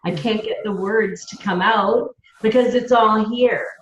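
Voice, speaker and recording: A woman's voice: medium pitch, steady tone, unhurried, a little hiss or hum. Canadian woman teacher, eager and chatty; roomy, dull video-call sound. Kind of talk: casual chat in an online seminar.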